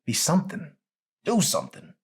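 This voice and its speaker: A man performing a raspy old man on his deathbed in an audiobook. He talks in a folksy, colloquial way, bitter at the world yet tender, handing down hard-won advice. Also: fairly high pitch, wildly swooping pitch, moderate pace, voice pushed up, heavily acted. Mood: wistful, bitter. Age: older. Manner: colloquial, folksy, wistful preaching.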